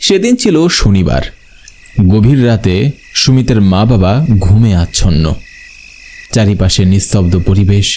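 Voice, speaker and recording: A man's voice: fairly low pitch, animated, a little slow, long pauses. A man narrating a scripted horror story in a dramatic, suspenseful storytelling manner. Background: loud instrumental music.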